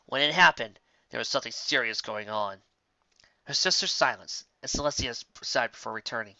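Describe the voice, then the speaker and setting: Guy narrating fanfic, sharp bright voice, dry n slightly muffled mic. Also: medium pitch, moderate pace, dry recording. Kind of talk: scripted fan fiction narration.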